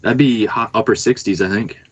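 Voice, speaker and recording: A man's voice: on the low side, normal speed, breathy edge, dry recording. A man with a Canadian accent chatting casually as a guest, explaining things in a plain, easygoing way. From rural Canada. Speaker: podcast guest, band musician. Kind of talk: casual chat.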